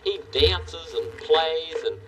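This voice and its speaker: A man's voice: on the high side, slow, a bit bright, distant mic, rough recording. A man playing a friendly, eager, curious puppet neighbor on a children's show, full of folksy exclamations. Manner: folksy exclamations, boyish enthusiasm.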